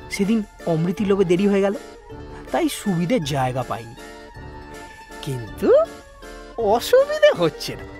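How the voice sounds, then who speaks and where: A man's voice: sits high, exaggerated voice. An actor, a man, playing a teasing young ghost in a comic audio drama, chatty and mocking. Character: cheeky, teasing young male ghost buddy.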